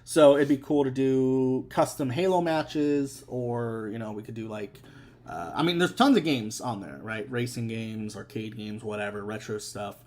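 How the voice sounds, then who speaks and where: A man's voice: medium pitch, normal speed, darkish tone. A young adult man hosting a casual live stream, rambling with many fillers and restarts. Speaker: streamer and host.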